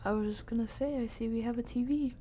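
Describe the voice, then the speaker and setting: A woman's voice: a little high, fairly even intonation, fast, noisy background. A woman streaming a game with casual, chatty live commentary and mild substitute swearing.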